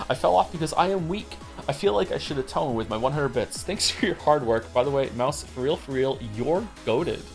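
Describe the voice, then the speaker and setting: A man streamer from Wales reading out chat messages in casual talk, with quick wry asides. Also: on the high side, animated, gravelly, faint music behind.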